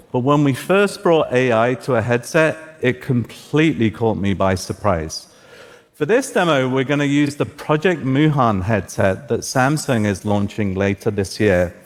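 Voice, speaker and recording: A man whose first language is Farsi, presenting a live tech demo on stage in an upbeat, guiding way. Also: mid-range voice. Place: stage in a large auditorium. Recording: echoey hall.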